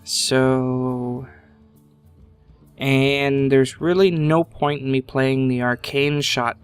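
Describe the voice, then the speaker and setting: A man commentating his card game match casually, thinking aloud at an unhurried pace, his voice a little congested from a sinus infection. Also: mid-range voice, fairly bright tone, smooth.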